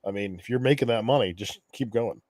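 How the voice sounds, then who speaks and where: A man's voice: mid-range voice, fast talker, a little mellow, no echo. A man co-hosting a casual livestream, talking in a hesitant way and repeating words as he goes. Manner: hesitant, repeats words, clears throat often. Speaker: co-host.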